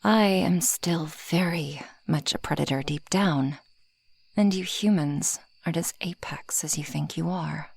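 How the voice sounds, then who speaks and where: A woman voicing a possessive, proud female dragon in an ASMR roleplay, with formal, haughty phrasing and a breathy, husky voice that often drops toward a whisper. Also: low-pitched, unhurried, a little mellow, full character voice. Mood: haughty, teasing. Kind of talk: ASMR roleplay addressed to the listener.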